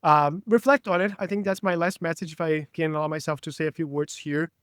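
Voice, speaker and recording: Talkative Brazilian man, higher voice. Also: fairly even intonation, a little fast, fairly bright tone, smooth, dry recording, studio quality. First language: Brazilian Portuguese. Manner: enthusiastic, talkative. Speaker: podcast guest. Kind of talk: casual interview chat.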